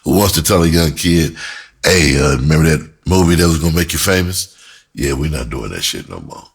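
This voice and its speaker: A middle-aged man with an American accent and a very deep voice that has a husky edge. He talks casually and candidly, like someone telling his own story. His delivery rambles and is full of slang. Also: expressive, a little fast. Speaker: storyteller, writer and music producer. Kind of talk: casual, explicit personal storytelling.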